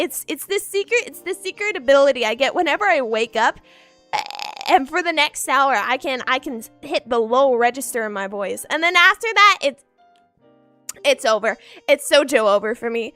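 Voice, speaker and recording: A woman's voice: sits high, sharp tone, dry recording. A woman streamer chatting casually with her viewers, playful and giggly, repeating herself, groggy from just waking up. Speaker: VTuber streamer. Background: faint background music.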